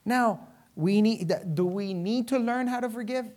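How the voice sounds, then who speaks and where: A woman from Venezuela preaching a sermon to a congregation, using rhetorical questions and dramatic emphasis and pauses. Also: fairly high pitch, darkish tone.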